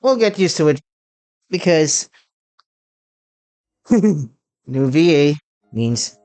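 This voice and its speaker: Slow, halting man commenting on a game. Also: a little high, very animated, a little mellow. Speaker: gaming channel host. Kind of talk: casual game playthrough commentary.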